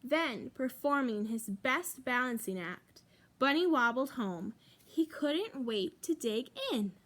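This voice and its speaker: A woman with a high voice reads a picture book aloud to young children. Her pitch swings widely, and she leans on pauses to shape each phrase. She sounds like a practised storytime reader. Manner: expressive, emphatic pauses for young listeners. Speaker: librarian reading a storytime.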